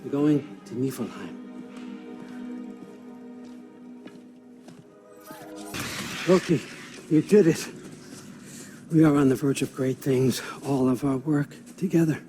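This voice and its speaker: A man's voice: medium pitch, measured pace, over music, close to an everyday voice. An actor playing a wily, domineering old Norse god-king in a video game cutscene, folksy and controlling in manner. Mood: excited. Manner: folksy, controlling, shifts from coaxing to commanding.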